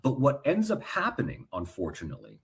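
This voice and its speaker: A man's voice: medium pitch, wildly swooping pitch, moderate pace, creaky, a bit bright. A man giving conversational analysis as an interview guest.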